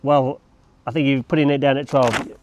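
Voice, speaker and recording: A man's voice: mid-range voice, flat delivery, moderate pace, dull audio, slight background noise. Man, lawn-care vlogger, chatty and rambling, cracks jokes mid-task, outdoors with faint ambience. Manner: rambling, joking asides to camera. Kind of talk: casual chat while working.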